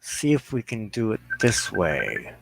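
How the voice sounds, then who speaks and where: A man's voice: fairly low pitch, wildly swooping pitch, unhurried, gravelly, noisy background. A man hosting a live show, chatting casually to viewers in a slangy, rambling way into a headset mic. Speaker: livestream host of a spiritual ministry show. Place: inside a trailer.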